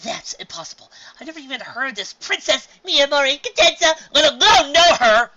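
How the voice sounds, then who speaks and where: A reader voicing an excitable young female unicorn pony who shouts in drawn-out, shrill bursts. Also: highs cut off, a little hiss or hum. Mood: outraged.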